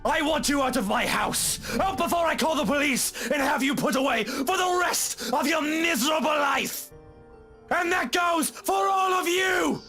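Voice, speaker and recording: A man voicing a frantic, protective young man who pleads and shouts at an intruder. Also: very high-pitched, unhurried, over music, voice pushed up. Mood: furious. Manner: pleading, then shouting in anger.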